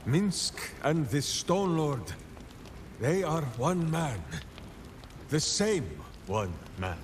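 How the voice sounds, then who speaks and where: An actor playing a hearty, earnest hulking ranger in a video game, grandly speaking of himself in the third person. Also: male voice, mid-range voice, a little hiss or hum. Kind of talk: theatrical video game dialogue.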